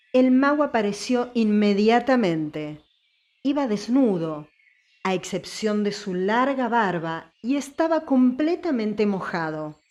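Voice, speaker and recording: A woman's voice: mid-range voice, a little mellow. A woman reading scripted story narration as an audiobook narrator.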